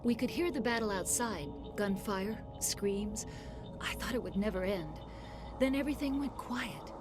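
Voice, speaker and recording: A rattled, protective lead researcher, voiced by a woman, telling her story in an earnest, shaken way. Loud video game music swells under her voice. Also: mid-range voice, animated, unhurried, natural voice. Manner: earnest, shaken, apologetic explaining. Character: shaken female lead scientist, middle-aged, protective.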